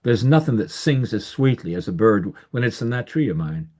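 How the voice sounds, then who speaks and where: A man doing a kindly old peddler with a husky lilt. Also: on the low side, very animated, normal speed, darkish tone. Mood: gentle. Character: kindly old male peddler, gentle and generous.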